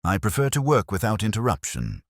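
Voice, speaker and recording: A man voicing a prim, guarded finance clerk who speaks softly in short, clipped phrases. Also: on the low side, huge pitch swings, brisk, no echo, studio quality, pitched above the performer's own voice. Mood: guarded polite. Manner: soft-spoken, clipped and prim.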